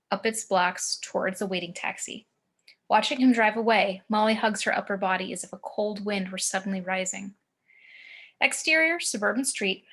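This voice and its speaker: A woman's voice: mid-range voice, fairly bright tone. A woman reading scene descriptions and action lines as the narrator of a screenplay table read.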